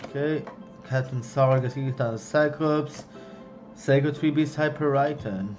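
A young man giving casual running commentary as he opens trading card packs, chatty, excited on big pulls and low on misses, recorded at home on a desk mic. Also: measured pace, soft background music. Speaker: card-opening youtuber. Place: home room, indoors.

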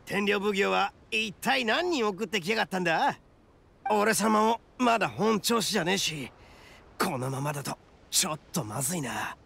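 Brash gravelly young oni gang boss. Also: male voice, sits high, lively intonation, moderate pace, a bit bright, exaggerated voice. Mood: tense, worried. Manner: rough, cocky, blunt. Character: brash, loyal, hot-headed young male red oni gang boss. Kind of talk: anime-style game voice acting.

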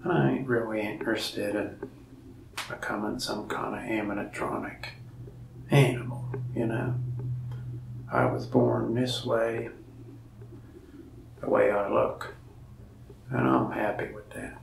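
Slow, gravelly soft-spoken salesman man. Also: fairly low pitch, expressive, reverberant, far from the mic, noisy background. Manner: folksy, rambling salesman talk, soft and half-whispered. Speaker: ASMR creator playing a mask shop salesman. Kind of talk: soft-spoken ASMR roleplay. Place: indoors, small room in front of a wall of masks.

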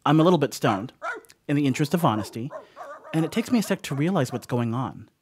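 An actor playing a sheepish young stoner house-sitter in an audio horror monologue, rambling apologetically with casual asides. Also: male voice, mid-range voice, expressive, quick pace, natural voice. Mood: uneasy storytelling.

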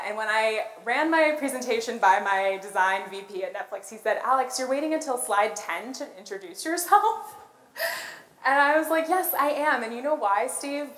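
A woman's voice: on the high side, fairly bright tone. An American woman giving a rehearsed but conversational conference talk, telling stories and putting questions to the audience. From born in Colombia, grew up in Los Angeles. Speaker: conference keynote speaker, product designer. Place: on stage in a large auditorium. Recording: roomy echo of an auditorium.

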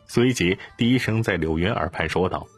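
A man's voice: low-pitched, moderate pace, soft background music. A man narrating a scripted audiobook story.

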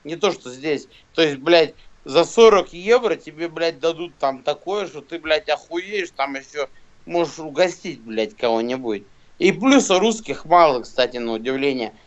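A man's voice: on the high side, expressive, normal speed. A man in his thirties chatting casually on a video call from a small room, rambling and swearing with lots of fillers. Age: 30s. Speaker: rapper and guest. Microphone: phone camera close to face. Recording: dull lo-fi video call audio.

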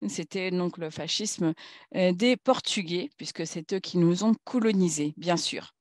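A woman speaking at a conference in a formal political talk, halting and searching for words as she goes. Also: fairly low pitch, a little mellow, no room sound, studio quality.

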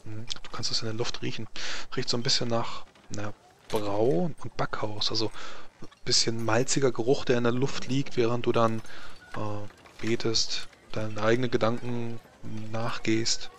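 Measured male game master, noisy background. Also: mid-range voice, little pitch movement, a bit bright. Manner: measured, pausing between short phrases. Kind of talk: improvised atmospheric game narration.

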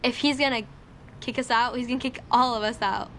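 A woman's voice: fairly high pitch, steady tone, fairly quick, fairly bright tone, a little hiss or hum. A young woman in her late teens from Syria, talking casually and personally, as in a documentary interview. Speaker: documentary subject, high school student.

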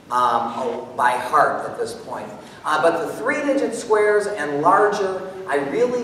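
A middle-aged man giving a live math demonstration to an audience, explaining step by step in an animated manner. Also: a little high. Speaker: presenter demonstrating mental math. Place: large auditorium stage, indoors. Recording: echoey hall from far off, background noise.

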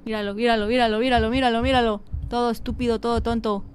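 A woman's voice: on the high side, level tone, fast talker, a little hiss or hum. A woman with a Mexican accent commentating a horror game as a streamer, dramatic and teasing, sometimes breaking into song. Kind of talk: gaming livestream commentary.

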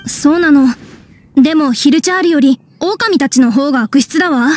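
A woman's voice: high voice, moderate pace, a little hiss or hum, lightly acted. A woman playing an aggrieved female caravan traveller in an anime game, complaining in a feminine manner. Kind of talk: Japanese anime game voice acting.

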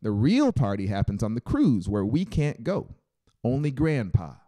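A man's voice: medium pitch, big pitch swings, measured pace, dark tone, no echo, clean studio sound. A man voicing a cool, knowing young male cousin, casual and nonchalant, in an audiobook. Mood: cool amusement. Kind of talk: audiobook character voice.

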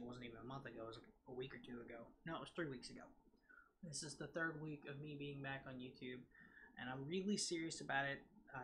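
Bright, nasal young man vlogging excitedly. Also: medium pitch, a little hiss or hum. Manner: rambling, excited, many fillers and restarts. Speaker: gaming vlogger. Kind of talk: casual vlog to camera. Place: large indoor room at home. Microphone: desk mic on a scissor arm, close.

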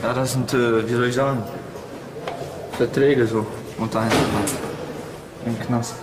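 A man's voice: mid-range voice, little pitch movement, a little slow. A man in prison answering questions hesitantly, searching for his words. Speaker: inmate. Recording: echoey, noisy corridor.

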